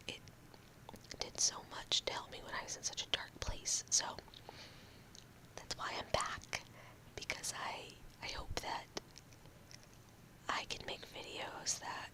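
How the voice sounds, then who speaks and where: A young woman in her room, whispering slowly and softly into a close mic in a casual ASMR ramble, her voice a little odd after a cold. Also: poor audio. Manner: slow, halting, talks with fillers and small laughs. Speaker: ASMR video creator.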